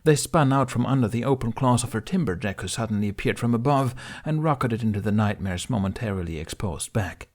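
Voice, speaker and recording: A man's voice: lowish voice, animated, brisk, hardly any pauses. A man narrating a fantasy adventure audiobook in a dramatic, scripted storytelling style.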